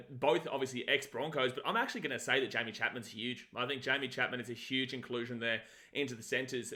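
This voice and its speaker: Bright-voiced passionate man. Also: mid-range voice. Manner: passionate, emphatic rugby league fan opinions. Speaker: podcast co-host. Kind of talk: casual sports podcast chat.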